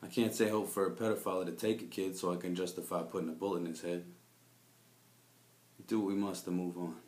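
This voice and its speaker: A man's voice: deep voice, flat delivery, quick pace, a little mellow, roomy sound, natural voice. A man playing a cynical, world-weary private investigator, delivering a brooding noir monologue in a confiding, jaded manner. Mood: jaded, bitter. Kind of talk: scripted noir detective monologue.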